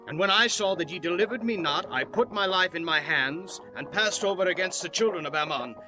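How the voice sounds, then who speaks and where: A man's voice: high-pitched, a little fast, lightly acted, poor audio. A man playing a grave, fervent Israelite warrior and father in a dramatized scripture recording, speaking in solemn declamation. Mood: indignant. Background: music.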